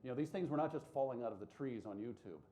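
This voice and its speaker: A man's voice: medium pitch, rapid-fire, warm tone. Rambling male panel moderator. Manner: chatty, rambling questions. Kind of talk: panel interview questions.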